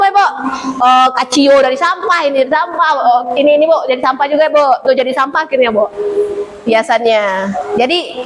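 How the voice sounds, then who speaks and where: Fast-talking, high-voiced woman teacher. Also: slight background noise, rough recording. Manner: rapid, chatty, tags almost every phrase with a polite address. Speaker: teacher presenting a school program. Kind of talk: informal briefing to fellow teachers and students.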